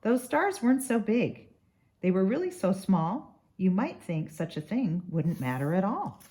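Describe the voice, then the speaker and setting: Very animated woman reading a storybook. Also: lowish voice. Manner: rhythmic, dramatic read-aloud. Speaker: doctor reading a children's book. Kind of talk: expressive storybook narration.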